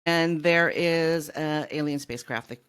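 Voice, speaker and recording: A woman's voice: sits low, measured pace, fairly bright tone, smooth. An American woman from California hosting a podcast, talking casually in a book discussion.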